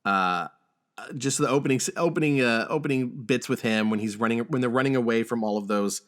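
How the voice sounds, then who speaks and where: A man co-hosting a podcast in a casual discussion, with a halting, stammering delivery. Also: mid-range voice, a bit bright. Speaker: podcast host.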